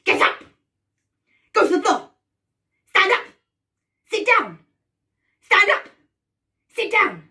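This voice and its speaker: Shrill, cackling, bossy witch. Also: very animated, takes it slowly, long pauses, pushed and bright, recorded from a distance, full character voice. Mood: bossy, imperious. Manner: barking commands, gloating. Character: cackling, bossy, child-eating witch, gleefully cruel.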